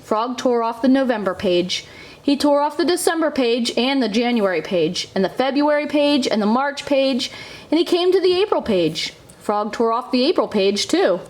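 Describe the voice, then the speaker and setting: A woman's voice: sits high, expressive, normal speed. A woman, a mother, narrating a children's storybook aloud for her child.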